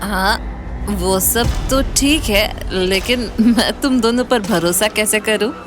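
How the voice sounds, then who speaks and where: A woman's voice: medium pitch, slow pace, over music, lightly acted. An actress playing a naive, hesitant young rich woman out clubbing, in an audio story. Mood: drunk, unsure. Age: young adult. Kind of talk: Hindi audio story acting.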